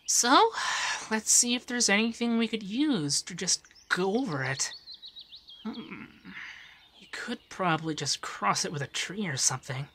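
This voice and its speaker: A man voicing an affectionate, teasing femboy monster companion in a soft, breathy, shyly stammering ASMR roleplay. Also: high voice, lively intonation, measured pace, slight background noise, full character voice. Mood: playful teasing.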